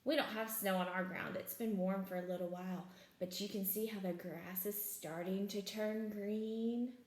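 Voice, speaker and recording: A woman's voice: mid-range voice, fairly even intonation, normal speed, a little mellow, a little hiss or hum. A woman reading a picture book aloud as a teacher, with simple explanatory asides and questions for young children, in an echoey room.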